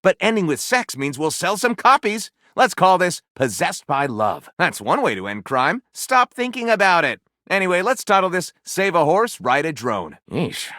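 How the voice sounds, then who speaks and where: A man's voice: medium pitch, fairly bright tone, dry recording, clean studio sound. A man playing a flippant, theatrical writer character in a video game, speaking with wry sarcasm and mock grandeur. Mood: amused, wry. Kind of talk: animated game dialogue, mock-grand title announcing.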